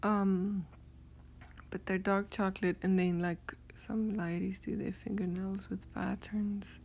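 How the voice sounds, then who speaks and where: A woman with a South African accent rambling softly through a strange dream, often half-whispering and hesitating with many fillers. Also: medium pitch, little pitch movement, slow pace, gravelly, slight background noise. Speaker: vlogger.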